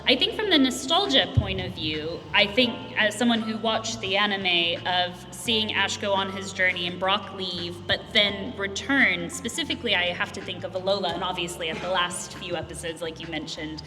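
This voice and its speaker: A woman's voice: mid-range voice, moderate pace, hardly any pauses, over music. A woman hosting a convention Q&A, asking long, chatty, enthusiastic questions. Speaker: convention Q&A host. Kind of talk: fan interview questions. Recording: echoey hall with long reverb.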